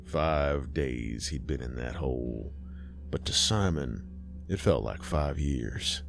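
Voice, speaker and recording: Deep-voiced man narrating grim horror. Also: lively intonation, creaky, over music. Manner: slow, grim, deliberate delivery.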